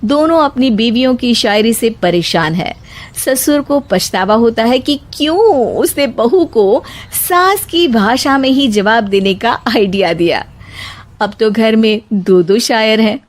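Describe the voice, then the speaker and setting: High-voiced woman storyteller. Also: lively intonation, unhurried. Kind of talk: scripted moral story narration.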